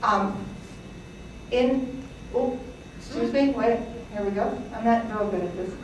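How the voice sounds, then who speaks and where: A woman's voice: on the high side, a little slow, a little hiss or hum. A woman in her sixties, from Greece, telling her family's immigrant story to an audience, chatty, with fillers and little laughs. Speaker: presenter. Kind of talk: personal history talk to an audience. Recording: echoey hall, from a distance.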